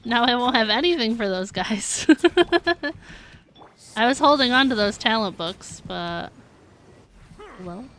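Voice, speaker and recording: A woman's voice: a little high, animated, slow pace, pauses often, fairly bright tone, a little hiss or hum. A woman chatting casually and playfully on a gaming livestream, giggly and given to exclamations. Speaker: game live streamer.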